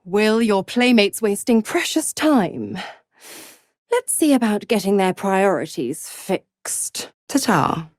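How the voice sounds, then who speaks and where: A woman's voice: medium pitch, very animated, slow pace, dry recording, clean studio sound. An actress playing a seductive, scheming female devil in a video game, mocking and purring with condescending endearments. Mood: mock sympathy. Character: seductive, scheming, gloating female devil who makes pacts. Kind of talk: theatrical game character dialogue.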